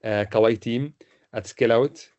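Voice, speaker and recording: Medium-pitched Egyptian man presenting. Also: fairly even intonation, normal speed, a little mellow. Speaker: intern. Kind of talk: semi-formal spoken presentation.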